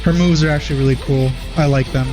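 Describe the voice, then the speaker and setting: A man giving casual reaction commentary as a host, hesitant and rambling as he thinks out loud. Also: on the high side, steady tone, fast, music in the background. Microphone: wrong microphone, close and dry. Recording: dull, muffled lo-fi microphone.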